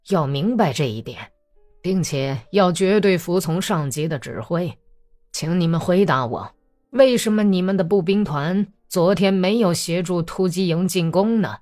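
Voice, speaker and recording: A woman's voice: on the low side, a little mellow. A woman acting out an irate, domineering old male general in an audiobook, imperious and scolding, with music behind. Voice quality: hoarse when angry.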